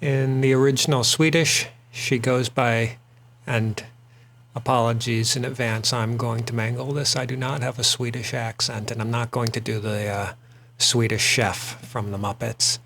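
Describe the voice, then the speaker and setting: A man's voice: mid-range voice, flat delivery, moderate pace, gravelly, a bit bright, slight background noise. A man hosting a drawing show, chatting casually and rambling a little with frequent fillers while he sketches. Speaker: artist and host.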